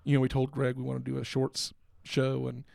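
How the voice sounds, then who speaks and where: A man's voice: mid-range voice, completely flat delivery, a little fast, vocal fry, darkish tone. A man chatting casually as co-host of a podcast. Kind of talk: casual chat.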